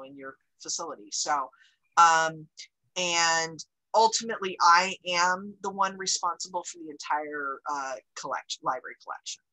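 A woman's voice: lowish voice, bright, forceful tone, rough recording. A woman library director, giving a chatty report on a video call with many fillers and restarts.

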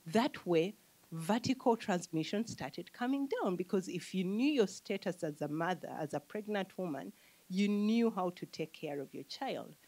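A woman in her thirties from Uganda, speaking as an economist on a panel into a handheld mic, explaining in a measured way and listing her points. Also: lowish voice, expressive, a little mellow, low-quality recording. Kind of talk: panel discussion answer. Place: conference room with audience.

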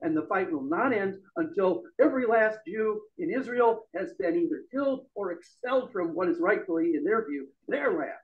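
A middle-aged man giving a loud, emphatic speech at an open-mic forum in a bar room. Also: high-pitched, lively intonation, normal speed, hardly any pauses, phone-quality sound. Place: bar room with a small audience. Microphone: stand mic.

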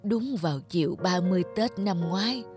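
Cartoon old man, gentle, sad, mild, hesitant, music behind. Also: high voice, expressive, a little fast. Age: older.

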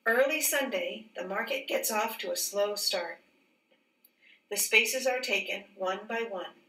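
A woman teacher reading a picture book aloud in a careful, expressive storybook manner. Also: mid-range voice, a little slow. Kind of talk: read-aloud storybook narration. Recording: roomy echo, distant mic.

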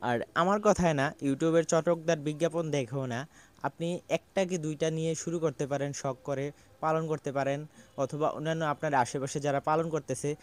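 A young man from Bangladesh talks earnestly to the camera as a small goat farmer, and his voice sits a little higher than most men's. He explains things step by step and often stops on a drawn-out "ah". He speaks outdoors in a farmyard, holding a small mic close to his mouth. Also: steady tone. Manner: earnest and explanatory, with frequent "ah" pauses. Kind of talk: casual advice talk to camera. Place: outdoor farmyard with goats and pigeons. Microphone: small handheld mic near the mouth.

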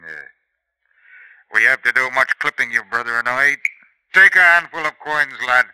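An actor playing a stern, menacing older father and highwayman in a 1950s radio drama. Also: moderate pace, sharp tone, clear, resonant tone, dry recording, low-quality recording. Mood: fatherly. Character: gruff older father, coin-clipping highwayman. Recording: vintage radio broadcast recording.

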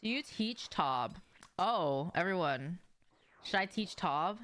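A young woman with a smooth, slightly low voice is livestreaming from her desk. She talks casually to her viewers as she plays, in informal gamer slang with some swearing. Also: expressive, slow pace, a bit bright. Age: young adult. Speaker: game streamer. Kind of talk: casual livestream chat with viewers while gaming. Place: indoors, small home room with shelves.

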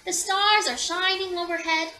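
A young woman reading a children's picture book aloud with expressive storytelling. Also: extremely high pitch, normal speed, poor audio. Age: young adult. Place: small room indoors.

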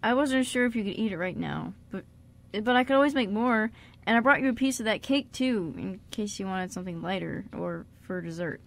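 A shy and caring man in a radio drama, acted by a woman. The delivery is halting and a little awkward, at a middling pitch for a female voice. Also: expressive, gravelly. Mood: shyly eager. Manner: hesitant, halting, with little laughs. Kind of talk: amateur radio drama acting.

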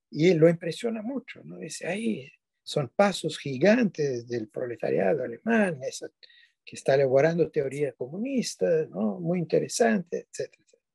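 Gravelly older man lecturing by video call. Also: fairly high pitch, lively intonation, moderate pace, a little mellow, no room sound. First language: not Spanish. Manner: digressive, frequent tag questions, self-corrects. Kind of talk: online lecture. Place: dim home room with bookshelves. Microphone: video call webcam.

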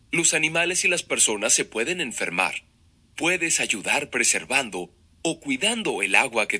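A male narrator delivers scripted educational material with a lively, varied pitch. His voice is very bright and forceful, with a slightly raspy texture.

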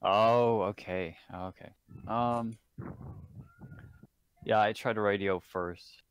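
A man's voice: fairly low pitch, steady tone, takes it slowly, a little hiss or hum. A man playing a tabletop game, talking casually and sometimes in character.

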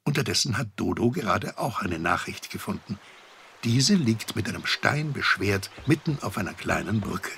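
A man's voice: lowish voice, expressive, moderate pace, creaky, fairly bright tone, slight background noise. A middle-aged man narrating a children's audio adventure as a genial storyteller. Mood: genial, wry. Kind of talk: scripted narration.

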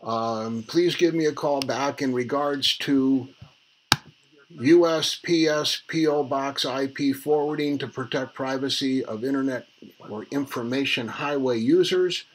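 An older man leaving a rambling, formal phone message in a halting, deliberate way, recorded in a small room. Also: medium pitch, a little hiss or hum, rough recording. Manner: halting, spells out numbers deliberately. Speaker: caller leaving a voicemail. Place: small cluttered room indoors.

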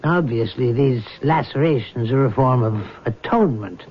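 An actor playing a measured, rational doctor in a 1970s radio drama. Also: male voice, mid-range voice, animated, husky. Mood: clinical. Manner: measured, clinical. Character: calm rational male doctor, middle-aged, firm. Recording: dull old radio broadcast with faint hiss.

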